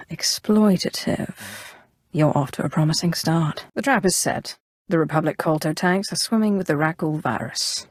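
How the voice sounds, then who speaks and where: An actress playing a cool, ambitious Sith inquisitor in a video game, formal, haughty and commanding in measured, scripted lines. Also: female voice, lowish voice, no room sound, studio quality. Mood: commanding, disdainful.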